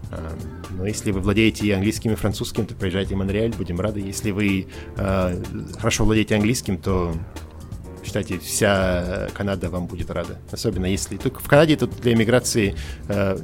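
A man in his forties from Russia, a podcast guest talking casually over a call, thoughtful and halting with many fillers and restarts. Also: fairly low pitch. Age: 40s.

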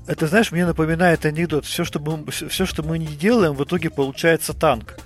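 A man's voice: fairly high pitch, fairly even intonation, a little fast, soft background music. A man hosting a casual podcast discussion, often repeating himself as he searches for words. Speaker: podcast host.

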